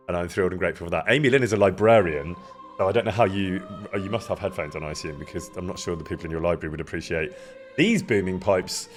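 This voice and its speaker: Chatty guy streaming, lowish loud voice that carries, laughs a lot, faint music behind. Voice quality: loud, carrying voice. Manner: chatty, greets viewers by name, laughs often. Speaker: streamer chatting with live chat. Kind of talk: casual live-stream chat. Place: indoor room near an event space.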